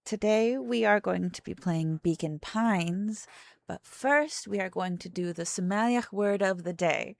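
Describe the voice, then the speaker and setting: A woman's voice: medium pitch, unhurried, creaky, dry recording. A woman streamer chatting casually and playfully while playing a game. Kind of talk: casual livestream chat.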